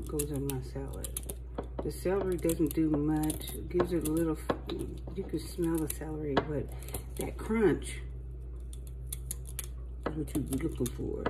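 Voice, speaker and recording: Middle-aged woman chatting away while she chops veggies in her kitchen, phone mic, some noise behind her. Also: deep voice. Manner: talkative, encouraging, chatty while chopping vegetables. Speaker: home cooking vlogger. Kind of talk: casual chat while cooking. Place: home kitchen counter at night, indoors.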